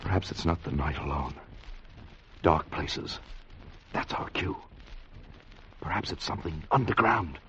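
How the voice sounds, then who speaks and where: An actor playing a grave, questioning, fatherly doctor and time traveler in a 1950s radio drama, with a husky, gravelly voice. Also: low voice, expressive, unhurried, pauses often, background noise. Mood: grave pondering. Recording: dull vintage 1950 radio recording.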